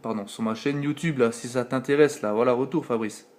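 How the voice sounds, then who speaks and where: A man's voice: medium pitch, a little fast, a little mellow, a little hiss or hum. A man with a French accent on a late-night CB radio contact, rambling casually with many fillers, talking into his radio mic at home. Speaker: CB radio operator. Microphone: radio operator's microphone, filmed on phone.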